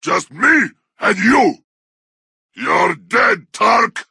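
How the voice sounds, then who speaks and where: A man playing a brutish, bloodthirsty orc warrior in a video game, snarling crude taunts in a harsh, raspy growl. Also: high-pitched, sing-song, very slow, sharp tone, dry recording, studio quality, heavily acted. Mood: furious, savage. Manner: snarling battle barks in crude, broken grammar. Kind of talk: video game combat barks.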